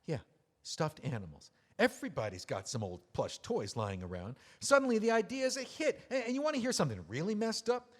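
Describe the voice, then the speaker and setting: A man's voice: high voice, huge pitch swings, brisk, heavily acted. Guy acting as a drunk bitter widower, swings up and down a lot, big echoey hall. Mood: ranting. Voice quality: sarcastic, ranting. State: drunk on whiskey. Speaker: widower memoir author. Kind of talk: audio play acting. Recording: long hall reverb.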